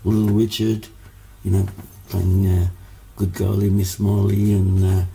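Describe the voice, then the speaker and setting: A man's voice: on the low side, level tone, measured pace, creaky, mellow. A man, born in Hungary, telling stories as a musician guest in a casual interview, rambling with many fillers and restarts.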